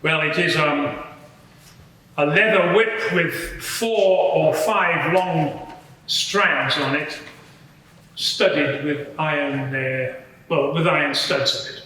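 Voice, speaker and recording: A man playing a matter-of-fact Roman centurion giving hesitant, measured testimony as a witness at an inquiry. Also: on the high side, sharp tone, slight background noise. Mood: matter-of-fact, grim. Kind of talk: semi-improvised courtroom testimony. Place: large reverberant courtroom. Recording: very echoey hall, distant mic.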